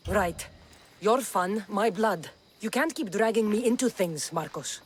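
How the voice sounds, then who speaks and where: A woman's voice: medium pitch, unhurried, a little hiss or hum, close to an everyday voice. An actress playing a tough young mercenary woman in a video game, blunt and sardonic, with a Greek accent. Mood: exasperated. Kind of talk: video game cutscene acting.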